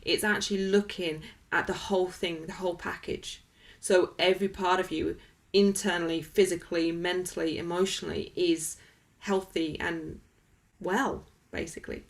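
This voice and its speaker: A woman's voice: lowish voice, steady tone, normal speed. A woman being interviewed as a wellness coach, talking conversationally in an emphatic, motivational way with everyday examples.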